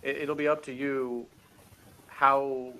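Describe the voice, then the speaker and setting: A man's voice: medium pitch, level tone, takes it slowly, pushed and bright, low-quality recording. A man co-hosting a show, chatting casually and giving his opinions as a fan. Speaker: podcast co-host.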